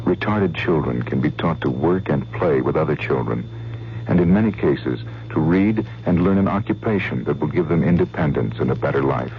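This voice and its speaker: A man's voice: very deep voice, normal speed. An American man reading a scripted public service announcement. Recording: thin phone-like radio sound, faint hum.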